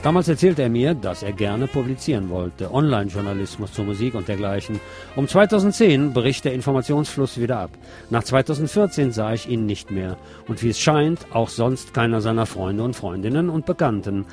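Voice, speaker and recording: Guy hosting radio, reading out an article, medium pitch voice, bit of music behind. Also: expressive, brisk, darkish tone. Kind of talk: scripted narration, reading an article aloud.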